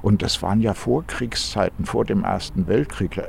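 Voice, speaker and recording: A man from Frankfurt with a light Hessian accent, an architect talking things through thoughtfully in a relaxed outdoor conversation. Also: lowish voice, normal speed, creaky, slight background noise. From Frankfurt, Germany. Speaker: architect, interview guest. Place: outdoors in a park.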